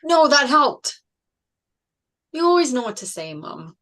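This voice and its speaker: A woman's voice: high-pitched, very animated, measured pace, pushed and bright, exaggerated voice. A woman reading as an eager, affectionate young boy in an earnest manner at a script table read. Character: eager, vain, affectionate young boy talking to his mom.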